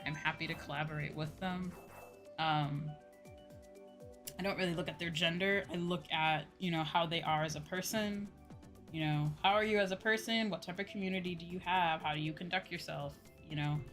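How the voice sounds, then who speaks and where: Woman, lowish voice, casual livestream chat, informal, musing and repetitive, clear music playing behind her. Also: a bit bright. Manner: informal, repeats phrases, musing aloud to viewers. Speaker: streamer. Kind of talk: casual chat while livestreaming a video game.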